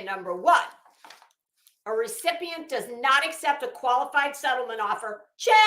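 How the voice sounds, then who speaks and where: A woman's voice: medium pitch, a bit bright, echoey room. A woman hosting a casual livestream, thinking aloud in a rambling, hesitant, informal way and reading documents out. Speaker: livestream host. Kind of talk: casual live commentary mixed with reading legal documents aloud.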